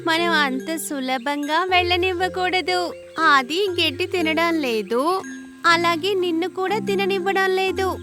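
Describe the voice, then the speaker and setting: A woman's voice: extremely high pitch, unhurried, music in the background. A woman voicing a tiny, confident young ant in a children's cartoon, childlike and assertive. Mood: bold, reassuring. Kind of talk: animated children's cartoon dubbing.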